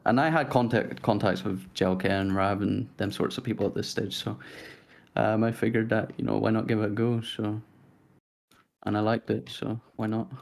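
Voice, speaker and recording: A young man with a soft Northern Irish accent talks in a relaxed, rambling way, as a guest on a casual podcast. His voice sits fairly low and has a gravelly fry to it. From Northern Ireland. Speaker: guest YouTuber.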